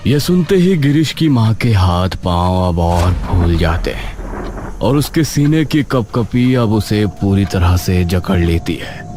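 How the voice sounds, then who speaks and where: Low-voiced man narrating a horror cartoon. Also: slow pace. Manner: steady, dramatic storytelling. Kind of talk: dramatic horror story narration.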